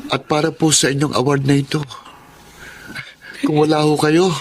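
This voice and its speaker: A man's voice: fairly high pitch, level tone, moderate pace, background noise, lightly acted. A man playing an earnest, grateful young orphan in a Filipino radio drama, speaking respectfully and sincerely. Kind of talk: radio drama acting and first-person narration.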